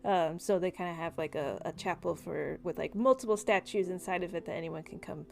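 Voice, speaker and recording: A woman improvising narration as game master of a tabletop game, explaining in a halting way. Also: on the low side, vocal fry, a little mellow.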